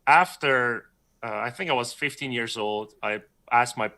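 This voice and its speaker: Guy from iran on a live stream, bright voice, rambles n corrects himself a lot. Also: mid-range voice, unhurried. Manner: rambling, self-correcting storyteller, laughs often. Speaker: guest artist. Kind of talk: casual livestream chat.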